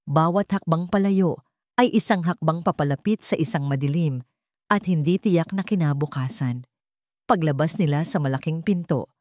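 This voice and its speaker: Casting: Filipino woman, lower register, solemn and emotive dramatic story narrator, very dry close sound. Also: darkish tone, no echo.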